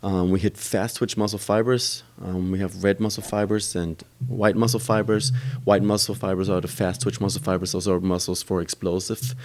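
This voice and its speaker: A man talks in a very low voice as a podcast guest. He explains how his gym works at length, pausing often with ums and uhs as he goes. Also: flat delivery, darkish tone. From Germany. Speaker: gym owner and trainer. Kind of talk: podcast interview answers.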